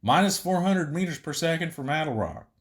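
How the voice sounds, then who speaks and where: A man's voice: on the high side, animated, fairly quick, pitched above the performer's own voice, natural voice. A man voicing a nervous, eager young alien astronaut trainee with hesitant, earnest answers in an audiobook story. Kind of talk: audiobook character voice.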